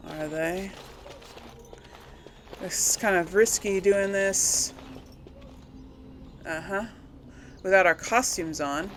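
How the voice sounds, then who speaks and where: A woman's voice: mid-range voice, slow pace, long pauses, slight background noise. A woman giving casual, chatty let's play commentary, thinking aloud and asking herself questions as she goes. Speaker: video game streamer and series host.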